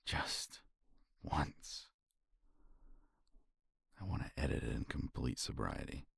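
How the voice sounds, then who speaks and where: A man speaking softly and reflectively in a romantic ASMR roleplay, sighing often and sometimes dropping to a whisper, close to the mic. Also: a bass voice, slow pace, gravelly, husky, dry recording. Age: older. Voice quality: soft, intimate, drifts into whispers.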